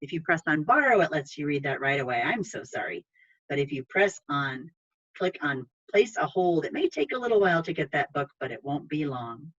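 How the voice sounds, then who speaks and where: A woman's voice: low-pitched, sing-song, brisk, a bit bright. A woman hosting an online children's library program, chatty and polite as she reads and explains, heard over a video call. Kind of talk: reading aloud and guiding viewers through an online library program. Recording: dull, lo-fi video call audio.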